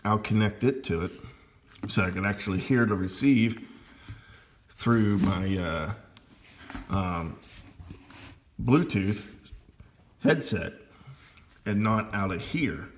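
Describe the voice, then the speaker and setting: A man giving a casual, unscripted hands-on demonstration of his hobby equipment, talking in a halting way. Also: on the low side, animated, slight background noise. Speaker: amateur radio hobbyist demonstrating gear.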